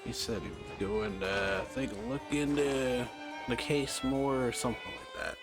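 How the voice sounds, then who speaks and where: A woman's voice: rumbling low, a little slow, music in the background. A woman giving casual, laid-back let's play commentary as a gaming video host, in short murmured reactions.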